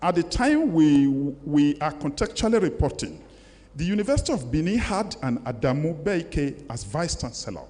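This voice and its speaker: An older man with a Nigerian accent gives a formal public lecture, speaking deliberately and emphatically as he reads and reflects. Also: a little high, very animated, darkish tone. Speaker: guest lecturer. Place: large lecture hall, indoors. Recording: echoey hall with long reverb.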